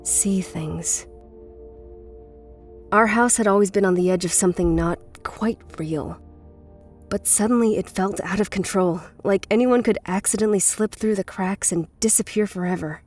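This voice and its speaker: An actress playing a weary, guarded middle-aged woman with a bitter past in a naturalistic, measured audio drama performance. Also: mid-range voice, a little mellow, faint music behind, close to an everyday voice. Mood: haunted, confessional.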